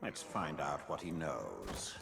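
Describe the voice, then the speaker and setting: A man playing a cold, commanding, ancient wraith lord in a video game, speaking in a measured, grave, imperious manner. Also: rumbling low, sing-song, vocal fry, heavy background noise, exaggerated voice. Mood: cold, urgent. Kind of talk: solemn video game cutscene acting.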